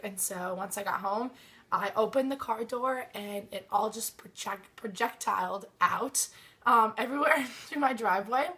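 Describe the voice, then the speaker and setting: A twenty-year-old woman, her voice a little hoarse, telling a personal story in a casual way, with frequent ums and small laughs. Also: medium pitch, bright, forceful tone. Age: 20s. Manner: chatty, many ums, nervous laughs.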